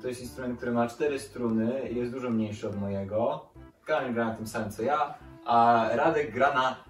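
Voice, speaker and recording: A man's voice: medium pitch, normal speed. A young man casually introducing his music group to children, as its guitarist. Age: young adult. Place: furnished living room. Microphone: camera across the room. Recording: echoey living room, distant microphone.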